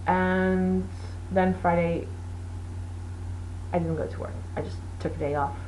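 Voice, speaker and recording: A woman's voice: fairly low pitch, fairly even intonation, unhurried, a little mellow, slight background noise, rough recording. A woman whose first language is Portuguese, hosting a knitting show in a casual, rambling chat with many fillers. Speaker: knitting podcast host.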